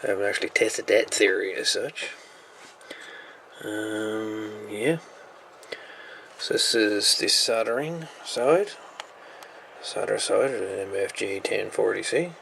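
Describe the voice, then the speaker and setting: Gravelly low-voiced man at a workbench. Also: slow, pauses often, fairly bright tone, a little hiss or hum. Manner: laid-back, thinking aloud, mumbled asides. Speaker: radio hobbyist reviewer. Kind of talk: casual equipment teardown walkthrough. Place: workshop repair bench.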